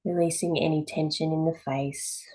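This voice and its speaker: A woman teaching yoga, giving calm, measured guided meditation instruction in short phrases with pauses between them. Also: low voice.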